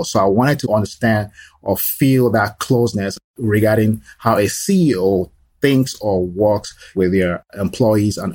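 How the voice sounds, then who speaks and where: A middle-aged man with a fairly low voice talks his story through at an easy pace, leaning on "you know" as he goes. The sound is close and dry, with no room around it. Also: a little mellow, husky, dry recording. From Nigeria. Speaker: guest, small business owner. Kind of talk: conversational life story.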